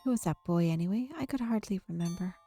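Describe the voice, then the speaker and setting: A woman's voice: lowish voice, fast talker, warm tone. A woman streamer reads visual novel text aloud expressively, dropping in casual asides. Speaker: video game streamer. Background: game music.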